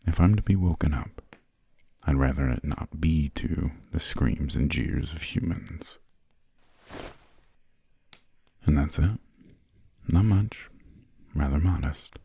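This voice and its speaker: A man playing a refined, imperious vampire lord in an ASMR roleplay, speaking in a hushed, formal, slightly haughty way with a breathy, gravelly low voice. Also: a little slow, full character voice. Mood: politely aloof. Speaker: employer briefing a new assistant.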